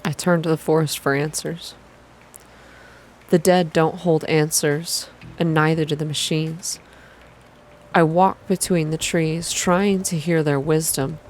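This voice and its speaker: A woman reading a story aloud in a calm, slow, hushed ASMR style. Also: low-pitched. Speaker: reader of a visual novel for an online channel.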